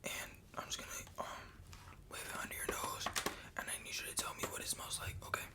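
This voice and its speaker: A man whispering in a breathy voice as an ASMR medical examiner, giving step-by-step instructions with soft, repeated reassurance. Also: a little fast. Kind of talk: fast whispered ASMR medical exam roleplay.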